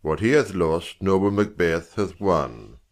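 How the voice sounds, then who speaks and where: A man playing a stately, commanding Scottish king in measured style for a Shakespeare audiobook drama. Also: sits low, animated. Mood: regal, commanding.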